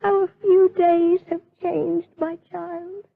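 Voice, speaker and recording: A woman's voice: extremely high pitch, level tone, takes it slowly, creaky, full character voice. A woman playing a fearful, pious young gothic heroine in an audio drama, with melodramatic delivery. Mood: weeping, despairing. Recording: tinny band-limited radio drama.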